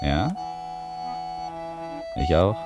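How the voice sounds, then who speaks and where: A man's voice: low voice, big pitch swings, drawn-out words, over music, heavily acted. An actor in a German radio play, playing a man's scheming friend, persuasive and casually mocking. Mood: restless.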